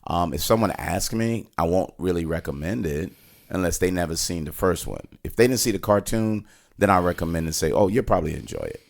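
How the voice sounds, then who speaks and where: Casting: middle-aged male podcast host, low creaky voice, rambling and opinionated movie chat. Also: a little fast. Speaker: podcast host giving a film review. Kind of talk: casual conversational movie review.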